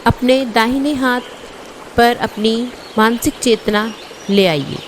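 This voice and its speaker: A woman guiding a yoga nidra meditation as an instructor, giving measured instructions in short phrases with pauses. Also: on the high side, a little hiss or hum.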